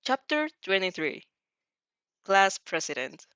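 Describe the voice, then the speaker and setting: A woman's voice: mid-range voice, sing-song, takes it slowly, sharp tone, clear, resonant tone, no room sound, lo-fi sound. A woman hosting a casual fanfiction read-aloud, hesitant and giggly, often correcting herself as she goes. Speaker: host of fanfiction reading show.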